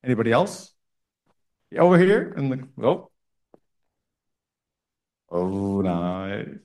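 A man's voice: on the low side, big pitch swings, slow pace, darkish tone. Man with echoey room sound answering questions. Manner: loose and chatty, with frequent restarts. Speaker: university staff answering student questions. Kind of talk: casual Q&A session.

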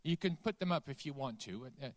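A man with a Dutch accent, a business school dean giving a lively conference talk and quizzing the audience. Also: mid-range voice, brisk. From Netherlands. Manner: lively, quizzes the audience, self-deprecating asides.

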